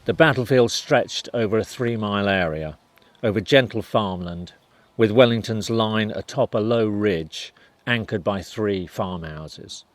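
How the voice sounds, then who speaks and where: A man's voice: on the low side, a little slow, no echo. A man reading scripted narration for a historical documentary.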